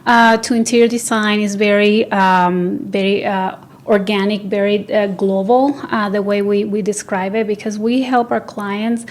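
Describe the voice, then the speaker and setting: Venezuelan-born woman, design business owner, hesitant studio interviewee, mid-range pitch. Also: fairly even intonation, unhurried, few pauses. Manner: hesitant, many fillers and restarts. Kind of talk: casual interview answers. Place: radio studio.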